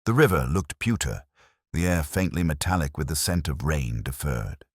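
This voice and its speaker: A man narrating a detective story in the first person in a measured, reflective, literary manner. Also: rumbling low, expressive, husky, dry recording, studio quality.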